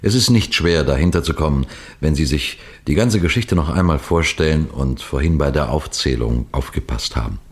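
An actor playing a police detective who tells puzzle cases to listeners in a deliberate 1960s radio play style. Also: male voice, very deep voice, animated. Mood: knowing, inviting. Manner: deliberate, rhetorical, addresses listeners directly. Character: male police detective telling puzzle cases, measured and knowing.

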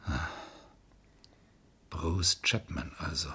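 A man's voice: extremely low pitch, drawn-out words, gravelly, pushed and bright, breathy edge, heavily acted. An actor playing a stern, sceptical defence lawyer in a seventies radio crime drama, sardonic and reproachful as he questions. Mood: sober, weighing. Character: stern, sceptical male defence lawyer grilling his client. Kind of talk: 1970s German radio crime drama acting.

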